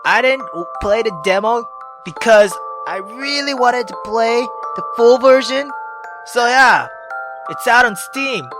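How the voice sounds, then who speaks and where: A man's voice: very high-pitched, lively intonation, a little slow, over music. A man hosting a casual gameplay video, rambling with lots of fillers and casual swearing. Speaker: gaming channel host.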